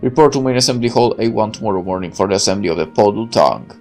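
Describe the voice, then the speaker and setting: A man voicing a stern, commanding factory master in a visual novel, measured, formal and authoritative. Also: fairly low pitch, darkish tone, pitched below the performer's own voice, lightly acted. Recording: music under the voice.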